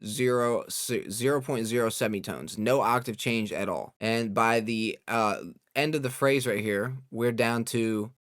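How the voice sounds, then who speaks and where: A young man hosting a casual software tutorial, explaining informally step by step as an audio engineer. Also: medium pitch, a little slow, few pauses, no echo, studio quality.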